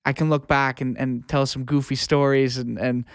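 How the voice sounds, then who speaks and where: A man's voice: medium pitch, level tone, moderate pace, smooth, dry recording, clean studio sound. A young North American man, an interview guest, talking in a casual, reflective, informal way. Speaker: interview guest, music producer and audio engineer. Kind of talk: casual chat.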